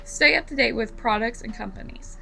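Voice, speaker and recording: A young woman with a raspy voice from bronchitis, giving rambling, casual tips on becoming an esthetician to her viewers. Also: a little high, a little fast, bright, forceful tone, faint music behind. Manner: rambling, lots of fillers and repeats. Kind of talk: casual vlog talk to camera.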